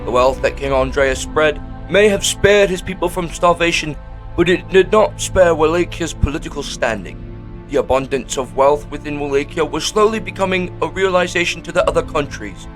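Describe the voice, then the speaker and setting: A man's voice: a little high, moderate pace. A man narrating a fantasy audio drama in a scripted storybook style. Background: music.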